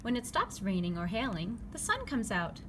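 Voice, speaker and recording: A woman reading a children's picture book aloud, phrasing it clearly and simply for young listeners. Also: mid-range voice, sing-song, normal speed. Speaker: read-aloud narrator. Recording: clearly noisy background ambience.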